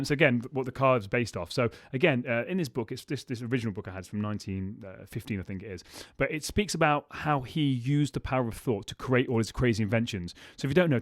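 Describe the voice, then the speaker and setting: A man hosting his own podcast solo, talking in a rambling, motivational way with a slightly croaky voice. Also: medium pitch, no echo, studio quality. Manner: rambling, self-correcting, motivational. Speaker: podcast host.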